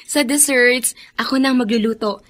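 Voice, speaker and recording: A woman's voice: on the high side, moderate pace, fairly bright tone, lightly acted. A woman playing a kind, trusting and cheerful young plantation worker in a Filipino radio drama, speaking sweetly. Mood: sincere. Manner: sweet, friendly.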